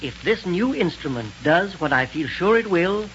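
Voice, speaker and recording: An actor playing a calm, authoritative scientist in a 1930s radio serial, speaking in a measured, precise way. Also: male voice, high voice. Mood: explaining. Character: calm, authoritative male scientist, inventor of rocket devices. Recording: dull, hissy 1930s radio recording.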